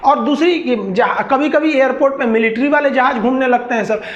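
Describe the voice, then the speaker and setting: Male educator, Bihari accent, high register, informal storytelling delivery of a lesson; echoey, lo-fi room recording. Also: brisk. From India. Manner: colloquial, animated storytelling with everyday examples and acted-out dialogue. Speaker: teacher, online educator. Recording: echoey room, dull lo-fi sound.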